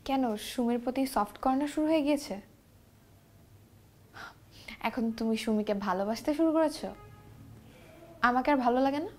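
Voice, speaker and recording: A woman's voice: high voice, fast talker, faint music behind, lightly acted. An actress playing an insistent young woman in love with a married man in a naturalistic TV drama, mixing Bengali and English. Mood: jealous, pressing. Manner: switches between Bengali and English.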